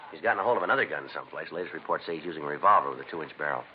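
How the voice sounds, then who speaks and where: An American man playing a terse, dogged police detective in a 1950s radio crime drama, speaking in a deadpan, clipped, businesslike way. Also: rumbling low, racing. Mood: weary, dogged. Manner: deadpan, clipped, matter-of-fact. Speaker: detective and narrator. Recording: dull, noisy vintage radio recording.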